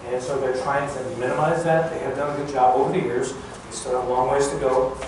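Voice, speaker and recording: A man's voice: medium pitch, fairly even intonation, a little fast. Older man speaking in a very echoey hall. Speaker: guest speaker, former air traffic controller. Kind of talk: informal public talk recounting career. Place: large echoey museum room. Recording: echoey hall, distant mic, clear background noise.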